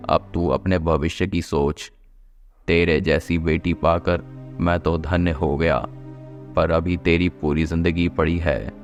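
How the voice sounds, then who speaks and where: A man playing an aging, stern and worried father in a dramatic, deliberate Hindi cartoon story delivery. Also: low-pitched, dull audio. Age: older.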